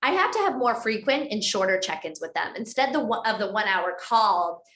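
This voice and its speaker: A woman author giving a structured webinar talk on a video call, speaking in clear list-like phrases. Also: medium pitch, big pitch swings, normal speed, a bit bright. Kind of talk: webinar presentation. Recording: roomy echoey sound.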